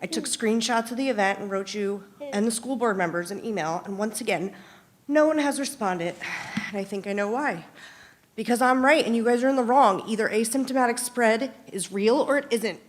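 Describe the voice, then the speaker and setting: A woman's voice: fairly high pitch, normal speed. A woman giving a combative, accusatory public comment at a meeting.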